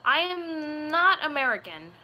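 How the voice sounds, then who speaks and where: A young woman of about twenty with a bright, crisp-edged voice talks casually to her viewers. She rambles playfully, and her rather high voice comes through slightly noisy webcam audio in a room at home. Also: sing-song, unhurried. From Puerto Rico. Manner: playful, rambling, slips into put-on accents and voices. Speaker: video creator chatting with viewers. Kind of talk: casual live chat. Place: small room at home, indoors.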